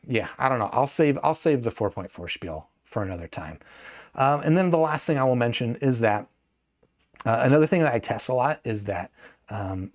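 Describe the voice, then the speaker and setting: Man thinking aloud in a casual review. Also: medium pitch. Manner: hedging, thinking aloud, self-correcting. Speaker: host of a gadget review channel. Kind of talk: casual unscripted product review.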